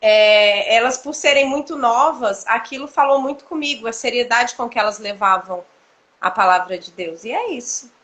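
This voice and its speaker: A high-pitched woman, chatting casually on a video call. She tells her story in an animated way, laughing often and filling pauses as she goes. Her voice sits well above the usual for women. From Cuiabá, Mato Grosso, Brazil. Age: 30s. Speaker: church dance ministry leader, guest. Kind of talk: casual testimony chat.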